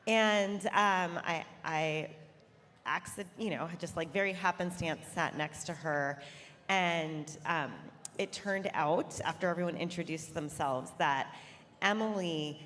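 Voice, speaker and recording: A woman's voice: lowish voice, takes it slowly, a bit bright. A woman moderating a conference panel in a casual, chatty way, with many fillers. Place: large hall on stage. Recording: reverberant hall, faint ambience.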